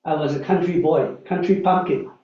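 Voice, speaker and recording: A man's voice: on the high side, moderate pace, mellow. A man in his sixties, a lay preacher telling personal stories in a chatty sermon, with frequent "uh" and "right?" asides. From Malaysia. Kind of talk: storytelling sermon. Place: church hall. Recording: echoey hall, distant mic, dull sound.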